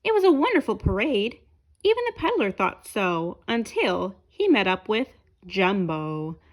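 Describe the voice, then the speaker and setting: A woman reading a children's picture book aloud, with expressive, deliberate phrasing aimed at young listeners. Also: medium pitch, low-quality recording. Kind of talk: children's read-aloud narration.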